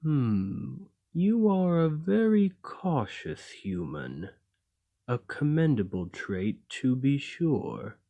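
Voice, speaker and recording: A man voicing a gentle, lonely golem in an ASMR roleplay, speaking in a formal, measured and courteous way. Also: medium pitch, big pitch swings, highs cut off, exaggerated voice. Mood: measured, coaxing.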